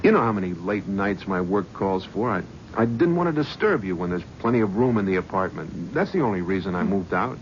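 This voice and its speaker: A hard-edged New York talent agent, brash and ruthless, who talks like a fast hustler. His voice sits low. It comes through a dull, lo-fi old radio recording with a faint hiss under it. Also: animated. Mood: evasive. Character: slick, ruthless male talent agent, middle-aged. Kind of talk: 1970s radio drama acting.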